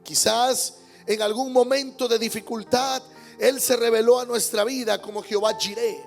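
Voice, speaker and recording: A man's voice: very high voice, fairly even intonation, moderate pace, vocal fry, soft background music. A male pastor preaching a Sunday sermon in church, emphatic, repeating key words and calling out amens. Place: church service.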